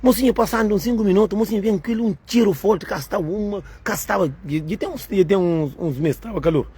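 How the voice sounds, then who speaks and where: Husky woman ranting at her viewers. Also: mid-range voice, fast talker, mellow. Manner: rambling and repetitive. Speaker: video creator.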